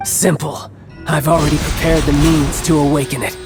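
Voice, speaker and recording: An actor playing a cocky, battle-hungry young villain in a video game cutscene, speaking in a measured, smug and theatrical way with formal phrasing. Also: male voice, a little high, flat delivery, over loud music, close to an everyday voice. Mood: scheming, menacing.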